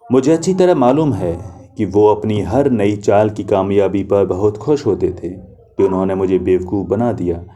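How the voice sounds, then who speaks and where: Brief: young adult male storyteller, low and very dark-toned, slow reflective delivery. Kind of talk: literary story reading.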